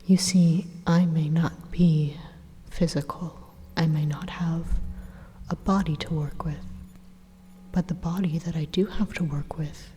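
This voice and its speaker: A woman playing an evil supercomputer AI inside the listener's mind, whispering softly and deliberately in a calmly menacing ASMR roleplay. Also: low-pitched, slight background noise. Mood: quiet menace. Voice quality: breathy, soft whisper. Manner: slow, deliberate, coaxing, quietly threatening. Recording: long echoing reverb on close whisper.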